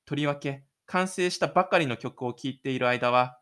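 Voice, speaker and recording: A man hosting his own audio drama show, narrating and chatting gently. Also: medium pitch, normal speed. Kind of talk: audio drama narration and casual host chat.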